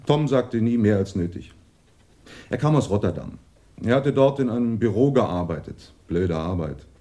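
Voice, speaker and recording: An actor playing a melancholy male witness in a German radio crime drama, reflective and halting. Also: fairly low pitch, mellow. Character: male neighbour of a dead man, melancholy police witness.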